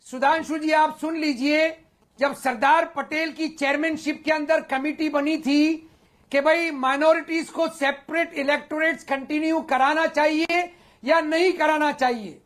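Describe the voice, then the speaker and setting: Man on a heated news panel, extremely high-pitched, loud and accusing delivery. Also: steady tone, a little slow. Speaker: debate panelist. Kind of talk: heated TV debate.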